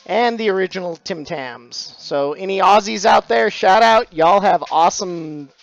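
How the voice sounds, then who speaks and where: A man's voice: sits high, a little slow, vocal fry, dry recording, muffled recording, soft background music. A middle-aged man, chatting casually on a live stream about his craft, full of dad jokes and thanks to followers. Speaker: stained glass streamer.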